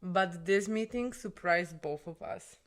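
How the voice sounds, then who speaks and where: A woman's voice: on the low side, a little slow. Young woman, earnest podcast co-host. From Poland. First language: Polish. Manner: earnest, non-native English, addresses viewers directly. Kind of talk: casual chat.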